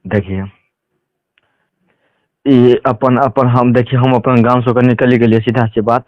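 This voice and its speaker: A man's voice: medium pitch, fairly even intonation, unhurried, no echo. A man with a Bihari accent from Mithila, talking informally on a recorded phone call. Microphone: telephone.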